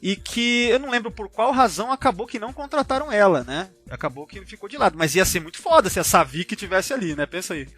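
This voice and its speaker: A man's voice: sits high, animated, fast talker, few pauses, fairly bright tone, soft background music. A Brazilian man hosting a casual fan discussion, rambling and slangy, constantly tagging his sentences with little check-in questions. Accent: Brazilian Portuguese. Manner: informal, rambling, slangy, frequent tag questions. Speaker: podcast host.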